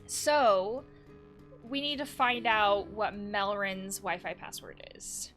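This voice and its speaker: A woman's voice: medium pitch, fairly even intonation, takes it slowly, fairly bright tone, soft background music. A woman hosting a casual let's play, reading game text aloud and adding chatty asides as she reacts. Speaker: host of a video game let's play, therapist.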